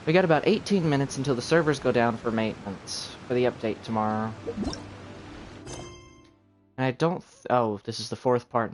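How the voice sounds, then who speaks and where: A man giving casual live commentary as he streams a video game. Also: medium pitch.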